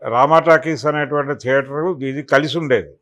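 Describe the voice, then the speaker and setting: A man's voice: mid-range voice, steady tone, a little fast, fairly bright tone. A man giving extended spoken news analysis as a political commentator, explaining and recounting in detail.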